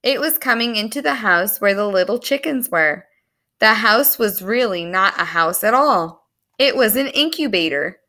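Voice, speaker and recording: A woman reading a story aloud to young children in an animated, expressive storytelling manner. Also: medium pitch, normal speed. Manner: animated, explains words to young listeners.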